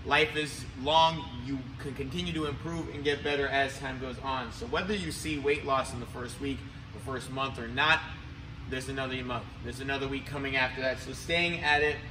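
A man in his thirties speaking as a gym coach, giving an instructional talk to camera. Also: mid-range voice. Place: large indoor gym. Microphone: camera a few metres away. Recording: roomy, distant mic, noisy gym ambience.

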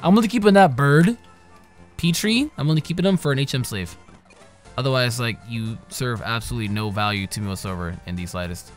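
A young man giving casual, profane let's-play commentary, trash-talking the characters and breaking into sudden loud yells. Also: mid-range voice, expressive, fairly quick. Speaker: gaming channel host. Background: game music.

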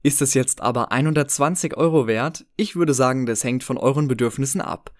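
A man's voice: mid-range voice, fairly quick, dry recording. Man narrating a dry, close-miked product review. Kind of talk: scripted voice-over narration of a product comparison.

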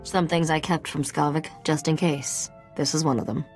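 An actress playing a shrewd, secretive treasure hunter in a video game, cool, confident and knowing. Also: female voice, low voice, fairly even intonation, moderate pace, faint music behind, natural voice. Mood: sly, businesslike. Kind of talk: video game dialogue acting.

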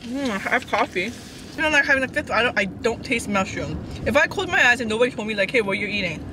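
A woman's voice: a little high, lively intonation, fast, far from the mic. A woman in her thirties, a vlogger chatting casually while tasting snacks in a parked car, giggly and reacting as she eats. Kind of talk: casual car mukbang chat. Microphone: camera on dashboard. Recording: loud car background noise.

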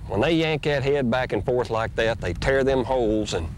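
A middle-aged man, folksy and drawling, hosting a fishing show and teaching while he fishes from a bass boat on a lake. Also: moderate pace. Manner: folksy, drawling, excited when a fish bites. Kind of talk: casual outdoor instruction while fishing. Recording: noisy outdoor boat audio, 1990s tape.

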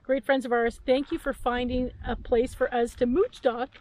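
A woman in her fifties from Canada talks in a slightly high voice, rambling and giggly with plenty of "um" and "uh". She sits outdoors by a camper van at dusk, picked up by a camera a few meters off. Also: flat delivery, normal speed, a little mellow, no echo. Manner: chatty, giggly, many fillers. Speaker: vlog co-host. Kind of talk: casual chat.

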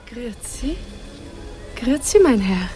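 An actress playing a poised, genteel widow in a radio play, speaking politely and formally with gentle reproof. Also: female voice, on the high side, big pitch swings, a little slow, soft background music. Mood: amused.

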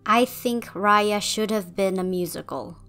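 A woman's voice: mid-range voice, a little slow, bright, forceful tone, faint music behind. A young woman from Indonesia reading a scripted commentary voice-over in a conversational, opinionated and slightly wry way. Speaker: video essayist.

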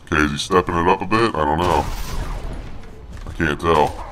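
A man's voice: sits low, normal speed, fairly bright tone. A man doing snarky gameplay commentary as a boastful, crude monster brute. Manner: cocky, crude trash talk. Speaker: gaming video host. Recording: home recording with noisy game sound. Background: fighting game sound effects.

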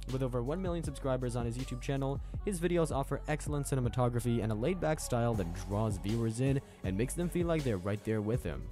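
Male host for scripted voice-over, mid-pitched, rapid and even, with a clear music bed underneath. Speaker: channel host narrator.

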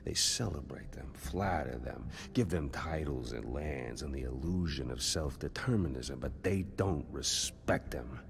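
A man playing a menacing, ambitious older crime boss in a video game, gravelly and deliberate, with theatrical pauses. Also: extremely low pitch, slight background noise, heavily acted. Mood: menacing, grandiose. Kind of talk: video game dramatic dialogue.

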